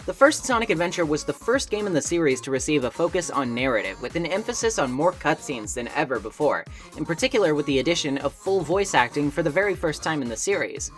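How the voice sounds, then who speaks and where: A man's voice: a little high, big pitch swings, fast, hardly any pauses. A man reading a scripted video essay in an articulate, wordy way, with wry asides. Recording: music behind narration.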